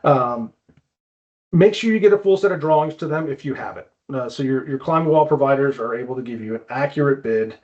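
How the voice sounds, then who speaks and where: A man speaks as an expert guest in an informal interview. He explains things conversationally, haltingly, circling back over his words. The audio is somewhat dull and lo-fi. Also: mid-range voice, a little mellow. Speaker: guest climbing wall consultant.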